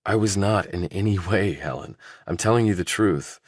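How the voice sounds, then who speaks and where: A man's voice: low voice, normal speed, breathy edge, no echo, clean studio sound, pitched above the performer's own voice. A man voicing a defensive, desperate young murder suspect in an audiobook, earnest, blunt and insistent. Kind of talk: audiobook character dialogue.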